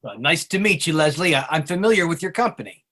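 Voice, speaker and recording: A man's voice: fairly high pitch, big pitch swings, fast, pushed and bright, exaggerated voice. A man playing a friendly older businessman and grandfather in a musical theatre table read, plainspoken and folksy.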